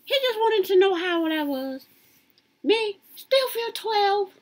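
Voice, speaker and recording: A woman in her late fifties rambling through her life story in a casual, lively way. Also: slow pace. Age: 50s. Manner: lively, swoops high when quoting others.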